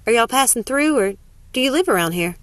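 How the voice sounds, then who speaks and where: Storybook hostess, done by a woman narrator: gushing, welcoming small-town type, raised pitch, dry sound. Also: lively intonation, fairly quick, a bit bright, no echo.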